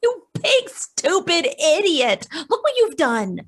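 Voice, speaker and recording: A woman's voice: very high-pitched, wildly swooping pitch, slow pace, full character voice. A woman playing a scolding, panicky accomplice in an old-time radio crime drama, whiny and melodramatic. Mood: furious.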